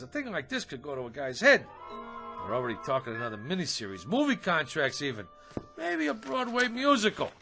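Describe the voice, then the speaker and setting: A man's voice: sits high, pushed and bright, exaggerated voice. An actor playing a brash, self-important hack writer in a comedic radio drama, slangy, boastful and stammering as he wheedles. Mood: excited bragging.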